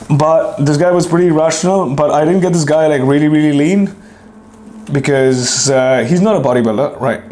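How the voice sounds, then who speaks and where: A hoarse young man hosting a solo fitness podcast, rambling and swearing casually while clearing his throat. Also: mid-range voice, a little mellow, a little hiss or hum. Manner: profane, rambling, self-deprecating. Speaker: podcast host and fitness coach.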